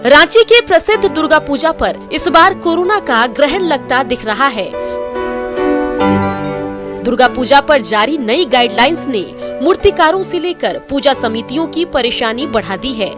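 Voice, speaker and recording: A woman's voice: sits high, animated, unhurried, over music. A woman narrating scripted news reports as a voice-over.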